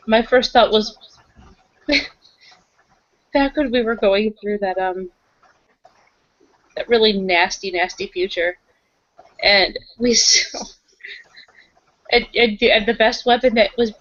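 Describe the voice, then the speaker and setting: A woman's voice: mid-range voice, steady tone, slow pace, pauses often, fairly bright tone, no room sound. A woman chatting casually in character as a player in a tabletop roleplaying game. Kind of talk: casual improvised in-character chat. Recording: dull lo-fi, close and dry.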